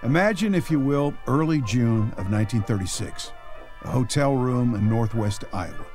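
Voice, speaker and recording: A male actor plays an earnest radio newsman narrator with a folksy touch. His voice sits at a medium pitch, with faint music behind it. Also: lively intonation, normal speed, a little mellow, natural voice. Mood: scene-setting. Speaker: announcer-narrator.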